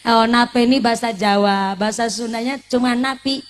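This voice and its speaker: Woman preacher, late 20s, high voice, playful and questioning, sermon to a live crowd. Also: moderate pace. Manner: lively, teasing, asks the audience questions, mixes in Javanese and Sundanese words. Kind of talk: humorous Islamic sermon to a live congregation.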